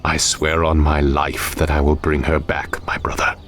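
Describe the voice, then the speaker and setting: An actor voicing a proud, brooding demonic elf sorcerer in a fantasy game, with a grave, theatrical delivery. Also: male voice, a bass voice, completely flat delivery, normal speed, fairly bright tone, soft background music. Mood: solemn. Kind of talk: dramatic fantasy game acting.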